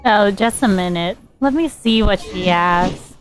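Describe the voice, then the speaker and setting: Slow-talking woman streaming a game. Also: medium pitch, rough recording. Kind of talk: casual gameplay commentary. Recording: game music behind the voice.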